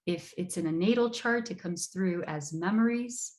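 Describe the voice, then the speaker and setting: A woman from Brazil giving an online astrology lecture, measured and emphatic, repeating words for stress. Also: on the low side, a little mellow.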